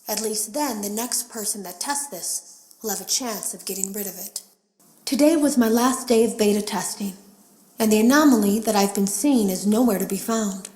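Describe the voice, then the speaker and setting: A nervous game tester played by a woman, speaking low and confiding in a mid-pitched voice as she records secret logs. Her words carry a roomy echo around them. She sounds watchful and resolute, like someone leaving warnings she fears will be found. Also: moderate pace, natural voice. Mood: urgent, resolute. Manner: hushed, wary, confiding. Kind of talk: scripted game voice acting.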